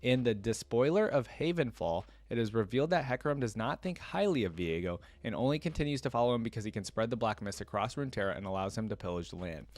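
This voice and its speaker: A man's voice: mid-range voice, expressive, fast talker, few pauses, darkish tone, dry recording. A man hosting a reaction video, casually reading lore aloud and dropping in his own comments, recorded close to the microphone. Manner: casual, interjects reactions between read passages.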